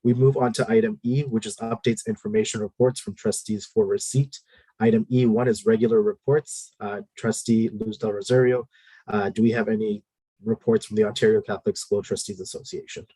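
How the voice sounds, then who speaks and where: Man, committee chair, low mellow voice, husky, dry close sound, measured, procedural, fillers. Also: fairly even intonation, dry recording, rough recording. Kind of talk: chairing a formal meeting.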